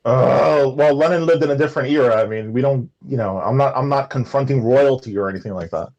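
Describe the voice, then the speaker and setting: A man with a New York accent and a big booming voice, arguing on a livestream call, halting and restarting his sentences. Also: darkish tone, highs cut off. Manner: halting, restarts a lot, defensive. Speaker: livestream guest. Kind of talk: casual livestream debate.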